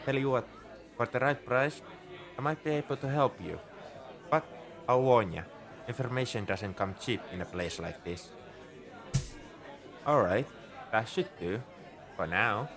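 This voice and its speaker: A man's voice: mid-range voice, animated, unhurried, long pauses, a bit bright. A man playing a shrewd, easygoing bartender at a space outpost in a conversational, knowing ASMR roleplay monologue. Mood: knowing, bargaining. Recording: crowd chatter behind the voice. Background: bar crowd murmur.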